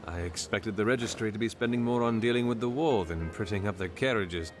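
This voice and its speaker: An actor playing a wry, confident gentleman adventurer with a dry, teasing, nonchalant manner in a fantasy video game. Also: fairly low pitch, moderate pace, natural voice. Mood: amused. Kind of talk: dramatic video game voice acting. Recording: music behind the voice.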